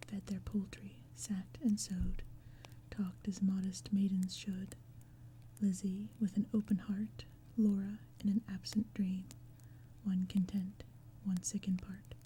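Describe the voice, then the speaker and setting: A woman reading poetry in a soft, breathy ASMR whisper, with a gentle, hushed storytelling manner. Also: mid-range voice. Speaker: audiobook reader. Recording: faint ambient crackling fire and forest sounds. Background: crackling fire, forest ambience.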